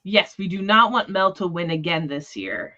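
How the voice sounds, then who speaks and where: Low-voiced woman, informal and rambling, dullish audio. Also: expressive, moderate pace, sharp tone, muffled recording. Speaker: host of a book discussion livestream. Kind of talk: casual chat. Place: remote livestream call.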